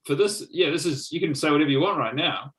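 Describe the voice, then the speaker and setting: A man's voice: fairly high pitch, expressive, fast, fairly bright tone, low-quality recording. A man hosting a spelling quiz over a video call, teasing in a dry, mock-formal way. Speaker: quiz host. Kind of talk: casual comedic banter.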